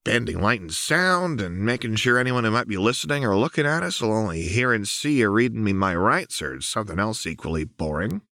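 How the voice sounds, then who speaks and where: A man's voice: fairly low pitch, fairly quick, few pauses, dry recording, clean studio sound. A man voicing a manic young woman prisoner in an audiobook, teasing and crooning with gleefully unhinged taunts. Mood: cool, knowing. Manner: crooning, teasing, abruptly switching to cool calm. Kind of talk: audiobook character voice.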